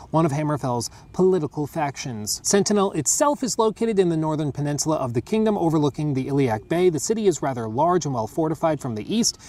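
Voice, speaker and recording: A man's voice: on the high side, darkish tone, slight background noise. A young American man chatting casually on a podcast, rambling with lots of fillers as he reads out game lore. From United States. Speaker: podcast co-host. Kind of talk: casual chat reading lore.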